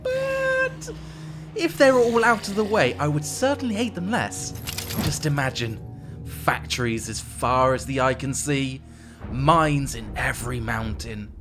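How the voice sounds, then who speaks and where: A man's voice: a little high, very animated, unhurried, over music. A man giving scripted, tongue-in-cheek strategy game narration in a wry, theatrical, playfully villainous manner.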